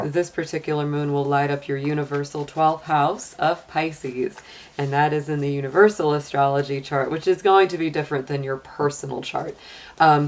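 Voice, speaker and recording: Deep-voiced, slightly hoarse woman. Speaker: tarot reader and channel host. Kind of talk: casual conversational tarot and astrology reading.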